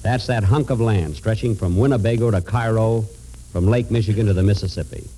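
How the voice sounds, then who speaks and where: Low, mellow 1940s radio orator. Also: male voice, fairly quick. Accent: American. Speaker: radio announcer. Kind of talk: 1940s radio scripted tribute narration. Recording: noisy old 1940s radio recording.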